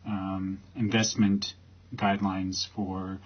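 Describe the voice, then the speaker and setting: A man giving an informal explanatory talk as a project's creator, in a halting, rambling manner. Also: low-pitched, level tone. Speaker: creator presenting his project. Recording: slightly dull, lo-fi recording.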